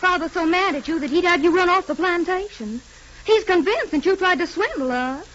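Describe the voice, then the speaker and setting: An actress playing a proud, wounded young Southern sweetheart, reproachful, in a 1940s radio drama. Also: female voice, very high-pitched, animated, fairly quick, a bit bright. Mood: hurt, doubting. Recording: dull, noisy 1940s radio recording.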